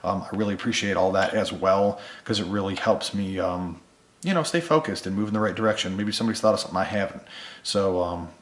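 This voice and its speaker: A man's voice: sits low, fast talker. A man whose voice is worn from being sick, chatting casually and appreciatively to his video subscribers in a rambling way as a small business owner. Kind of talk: casual vlog talk to subscribers. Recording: echoey room.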